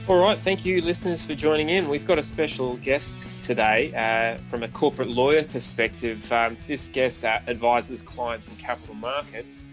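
A man's voice: medium pitch, steady tone, normal speed, hardly any pauses, over music. A man co-hosting a finance podcast, introducing the show and a guest with many ums. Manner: hesitant, many ums and uhs. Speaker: podcast co-host.

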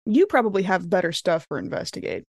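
A woman's voice: fairly low pitch, sing-song, quick pace, no room sound, studio quality. A woman playing a tabletop role-playing game, chatting casually and agreeably with the others at the table.

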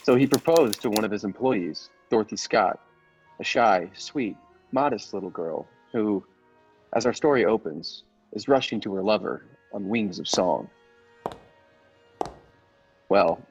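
A wry story announcer from old radio drama acted by a man. His voice is fairly low and husky, narrating with mock-romantic grandeur. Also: expressive, a little slow, pauses often, faint music behind, full character voice. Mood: wry, grandiose.

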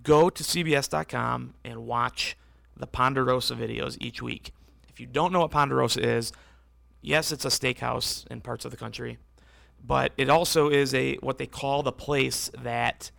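Man, podcast host, hoarse, very gravelly, rambling casual talk. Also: mid-range voice, fairly bright tone, no echo. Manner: rambling, self-correcting, conversational. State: losing his voice, hoarse. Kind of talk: casual solo podcast recap.

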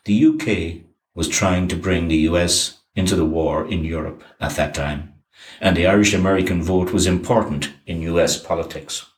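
Deep-voiced man narrating history in an echoey room. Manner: even, measured reading of dates and names. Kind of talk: scripted documentary narration.

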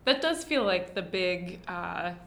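A woman's voice: on the low side, animated, slow pace, fairly bright tone, slight background noise. Chatty woman hosting a podcast. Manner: friendly, chatty, with many "like" fillers. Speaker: podcast host. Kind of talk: casual podcast chat. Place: studio space indoors.

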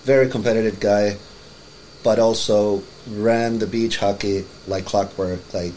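Low-voiced Finnish-born man, relaxed chat. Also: a little slow, a little hiss or hum, low-quality recording. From Finland. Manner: relaxed, rambling with many "you know"s. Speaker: podcast guest. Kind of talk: casual chat.

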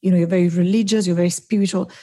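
A woman's voice: fairly low pitch, steady tone, racing, dark tone. A woman from southern Italy, a native Italian speaker, talking effusively as a podcast guest in long, winding thoughts. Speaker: guest, founder of a storytelling network. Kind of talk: casual conversational interview.

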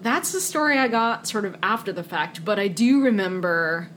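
A woman's voice: mid-range voice, animated, moderate pace, fairly bright tone, a little hiss or hum. A woman in her forties with a Connecticut accent, a guest chatting casually in an interview and laughing often.

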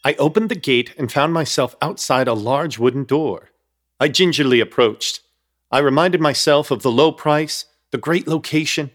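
A young man giving wry, measured first-person narration in a horror comedy radio play. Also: on the high side, a bit bright. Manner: wry, measured storytelling with nervous asides.

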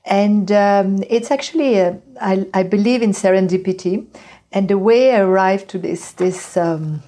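Mellow-voiced woman, halting speech. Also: mid-range voice. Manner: halting, restarting phrases, hesitant. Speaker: guest, former artist coach. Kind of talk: conversational interview answer.

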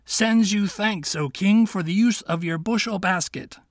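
A man's voice: high-pitched, unhurried, dry recording, studio quality, voice pushed up. A man voicing a clever, scheming male fox who talks in a coaxing, confiding way in a storybook tale. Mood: sly courtly.

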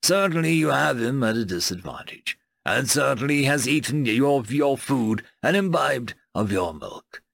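A man playing a mild, fussy bureaucrat in an audiobook, speaking in precise, formal, gently cautioning sentences. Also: medium pitch, animated, moderate pace, vocal fry, hardly any pauses, dry recording. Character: mild, fussy, unthreatening bureaucrat negotiator from London. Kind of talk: audiobook character voice.

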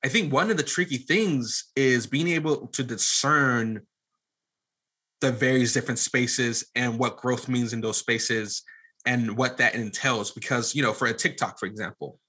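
A man in casual podcast conversation, talking in a halting, rambling way and often restarting his phrases. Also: medium pitch, sharp tone, breathy edge. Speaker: podcast guest and media producer. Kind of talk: casual conversational interview talk.

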